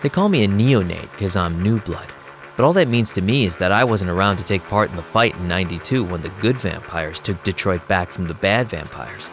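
An actor playing a young vampire DJ who narrates an audio drama in a casual, streetwise and sarcastic way. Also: male voice, low-pitched, animated, brisk. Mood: wry, casual. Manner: casual, wry. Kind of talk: first-person audio drama narration and dialogue. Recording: music behind the voice.

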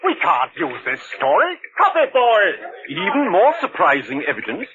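An actor playing a brash, mocking newspaper editor in a 1940s radio drama, talking in a brisk, scoffing way. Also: male voice, sits high, huge pitch swings, crowd noise. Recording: dull old radio recording.

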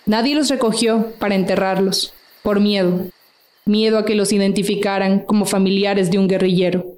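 A woman's voice: medium pitch, steady tone, moderate pace, a little mellow. A woman reading scripted narration for a radio drama. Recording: roomy echo, faint music underneath.

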